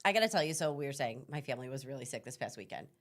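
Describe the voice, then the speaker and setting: A woman co-hosting a morning TV show, chatting casually and telling animated stories with frequent laughter. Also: a bass voice, rapid-fire. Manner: chatty, animated storyteller who laughs often. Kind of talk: casual chat. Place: TV studio.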